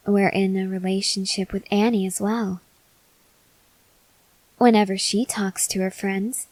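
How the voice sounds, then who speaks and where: A woman playing a possessive, softly menacing female vampire lover in an intimate, measured ASMR roleplay that slips into breathy whispers. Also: medium pitch, no room sound, low-quality recording. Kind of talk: ASMR roleplay acting, addressed to the listener.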